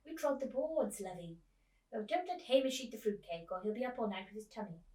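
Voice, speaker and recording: A woman's voice: mid-range voice, expressive, fairly quick, a little mellow, close to an everyday voice. A woman voicing a chatty old retired actress in a theatrical, exclamatory manner for a storybook reading. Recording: echoey room, distant mic.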